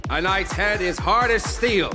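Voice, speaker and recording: An actor playing a jolly knight contestant who speaks in sing-song rhymes in a game. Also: male voice, high-pitched, a little slow, over music. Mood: jovial. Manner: sing-song, rhyming, jovial.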